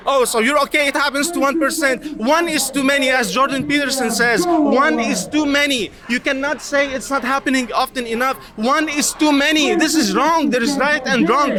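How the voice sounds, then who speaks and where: Heated high-voiced man over a loud crowd. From Lebanon. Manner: heated, insistent. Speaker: protester being interviewed. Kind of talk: street interview. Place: outdoors at a protest. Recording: loud crowd noise outdoors.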